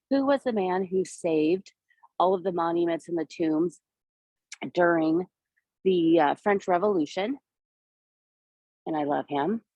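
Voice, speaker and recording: A woman with a cold-worn, hoarse voice leads a live outdoor walking tour, chatting and pointing things out as she goes. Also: low-pitched, a little mellow, no echo. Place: outdoors in a city park and streets.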